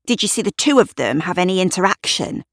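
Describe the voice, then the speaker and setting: A brisk policewoman with steel in her, played by a woman narrator, questions a witness in an audio drama. She is direct and businesslike, lifting her voice as she presses, in a dry recording. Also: lowish voice, lively intonation, vocal fry, no echo. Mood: brisk, probing.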